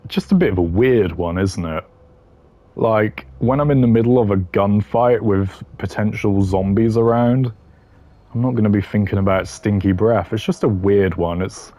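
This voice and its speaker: A man's voice: on the low side, moderate pace, dark tone, dry recording. A man giving casual, opinionated gaming commentary in a blunt, dismissive way with dry humour. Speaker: gaming content creator ranking voice lines.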